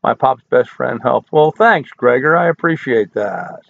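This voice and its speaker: An older man hosting a casual live stream, hoarse from hours of talk, folksy and rambling as he answers chat comments. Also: mid-range voice, expressive, a little slow, dry recording. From United States. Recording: dry, tinny phone-like audio.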